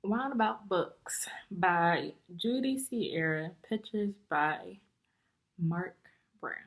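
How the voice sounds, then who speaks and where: A woman teacher reading a picture book aloud to young students in a deliberate, instructive way, pausing to explain and ask questions. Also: fairly low pitch, expressive.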